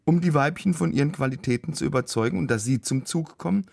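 A man with a cold and a rough, husky voice, explaining things as a biologist guest on a radio show. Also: fairly high pitch, quick pace, a little mellow. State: has a cold. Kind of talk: explanatory chat.

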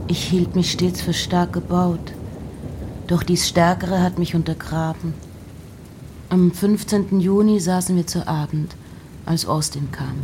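Low-voiced woman, slow literary reading. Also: warm tone, a little hiss or hum. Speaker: reciter of poems and letters. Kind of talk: literary reading of poetry and letters.

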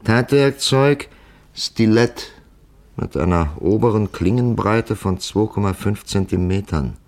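An actor playing a shrewd, methodical police commissioner in a 1950s German radio crime drama, musing aloud with deliberate, patient superiority. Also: male voice, fairly low pitch, darkish tone. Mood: musing, matter-of-fact.

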